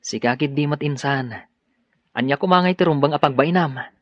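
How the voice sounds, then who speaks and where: A man's voice: on the high side, moderate pace, a bit bright, no room sound, natural voice. A man with an Ilocano accent acting a proud, forgiving young husband in a radio drama. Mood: warm, reassuring. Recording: dull studio audio with music bed.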